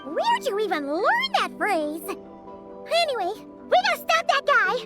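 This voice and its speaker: Tiny floating game sidekick, extremely high childlike voice, wildly swooping, excitable, nosy, exclamatory. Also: female voice, a little slow, over loud music, exaggerated voice. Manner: exclamatory, refers to self in third person. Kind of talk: video game voice acting.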